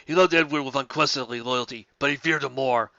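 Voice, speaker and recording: A reader playing an earnest, brooding male love interest in a romance story, soft-spoken and grave, slightly lo-fi. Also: medium pitch, fast, gravelly, dry recording, voice pushed down. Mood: grave, tender.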